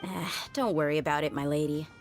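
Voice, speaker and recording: A woman's voice: sits low, steady tone, fast talker, darkish tone, faint music behind, close to an everyday voice. An actress playing a tough, principled woman mercenary bodyguard in a game, blunt and casual in manner. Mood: easygoing. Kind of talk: game voice acting.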